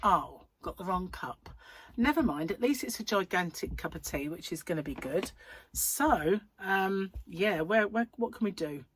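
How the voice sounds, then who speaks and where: A woman hosting a crochet channel, chatting in a rambling, self-mocking way at home while her voice is croaky from a cold. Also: lowish voice, lively intonation, measured pace. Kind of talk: casual chatty vlog. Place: at home, seated in a chair.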